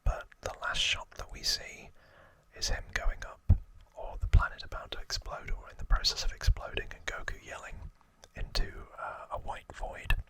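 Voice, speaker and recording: A man speaking in a breathy, gentle whisper, hosting an ASMR trivia explainer close to the microphone. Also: poor audio. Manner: slow, gentle, hushed delivery. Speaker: ASMR video creator and host.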